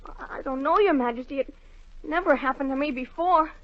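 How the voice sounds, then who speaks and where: A man's voice: very high voice, a little fast. A young male actor playing a polite, bewildered boy, stammering and apologetic, in 1940s radio drama style. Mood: flustered. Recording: dull 1940 radio recording with music.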